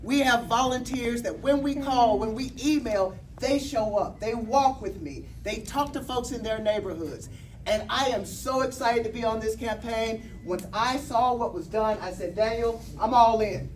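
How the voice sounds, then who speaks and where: A woman campaign organizer loudly rallying volunteers with call-and-response and giving instructions. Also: a little high, normal speed, recorded from a distance, slight background noise, poor audio. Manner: loud, call-and-response energy. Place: campaign office with crowd, indoors.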